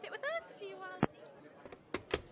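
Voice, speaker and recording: A woman playing a flustered, spacey bad liar in an audio drama, halting and stammering. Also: very high-pitched, lively intonation, a crowd in the background, heavily acted. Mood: nervous, airy.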